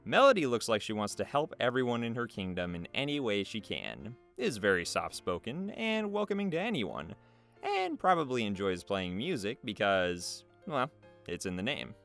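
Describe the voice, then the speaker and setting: A man's voice: lowish voice, very animated, normal speed, a bit bright. A man reading out interview questions and answers in a casual, jokey, playful way. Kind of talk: casual scripted interview narration. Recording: music behind the voice. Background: video game music.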